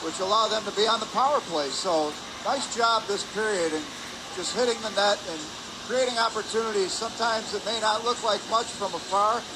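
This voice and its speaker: Male color commentator, notably high voice, conversational game analysis, explanatory, clearly noisy arena. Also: fairly quick. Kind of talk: live sports analysis. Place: ice rink arena. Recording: clear background noise, arena ambience.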